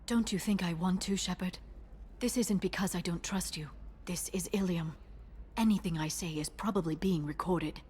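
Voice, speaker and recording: A woman playing a guarded, earnest alien information broker in a video game, speaking in a measured way. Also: lowish voice, darkish tone, slightly rough. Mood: earnest, businesslike. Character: guarded alien female information broker, earnest, quietly menacing when crossed. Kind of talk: video game dialogue acting.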